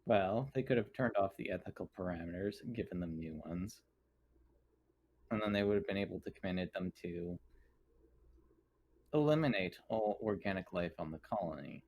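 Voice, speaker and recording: A man with a fairly low voice plays a tabletop roleplaying game. He speaks in measured, technical terms, with a clinical and precise manner. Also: expressive, a little mellow, slight background noise. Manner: formal, precise, clinical wording.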